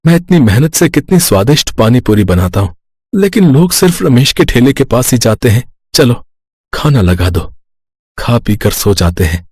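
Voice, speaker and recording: A man's voice: mid-range voice, very animated, normal speed, a little mellow, slightly rough, no echo, studio quality. A man voicing a poor, hardworking street vendor, an earnest and plaintive family man in a Hindi moral-story cartoon. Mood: weary, resigned. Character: poor, hardworking pani puri vendor, worried earnest husband and father.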